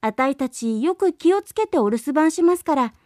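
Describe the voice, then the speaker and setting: A woman doing shrill little goat kids. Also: lively intonation, normal speed, no room sound, clean studio sound. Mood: reassuring. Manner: shrill, defiant shouting. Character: chorus of small excited young goats. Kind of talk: storybook reading.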